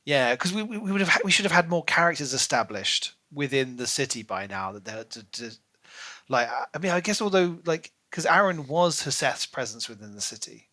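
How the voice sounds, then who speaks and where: Bright, forward-voiced man chatting casually. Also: medium pitch, animated, fairly quick. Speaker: book podcast co-host. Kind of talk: casual discussion.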